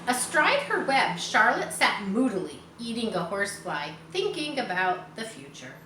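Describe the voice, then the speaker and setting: A woman reads a children's book aloud, expressive and informal. Her voice is bright and clear-cut at a middling pitch. The room echoes a little and there is faint noise behind her. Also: moderate pace. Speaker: storybook reader. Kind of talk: children's read-aloud with casual asides. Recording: roomy echo, faint background noise.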